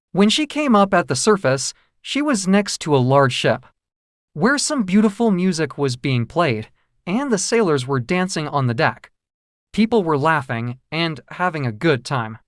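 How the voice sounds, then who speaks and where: A storyteller reads a children's fairy tale from a script. The voice moves up and down in a lively, expressive way. The sound is dry, with no room around it. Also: medium pitch, a little slow, no room sound.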